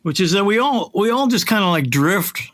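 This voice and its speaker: A man's voice: high-pitched, expressive, brisk, a bit bright. A man who works as a voice director and hosts, talking casually and informally in an interview. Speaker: voice director and podcast host.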